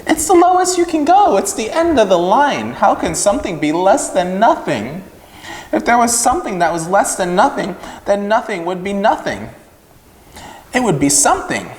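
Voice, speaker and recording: A man's voice: high voice, sing-song, normal speed, pitched above the performer's own voice. A man voicing a naive, lonely young storybook pig who speaks earnestly and emphatically. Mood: puzzled, insistent. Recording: echoey room with long reverb.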